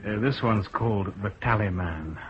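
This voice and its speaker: A man's voice: on the low side, moderate pace, natural voice. A man acting a dry, dogged police chief inspector with terse, probing questions in a radio crime drama. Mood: businesslike. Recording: dull, noisy old radio broadcast recording.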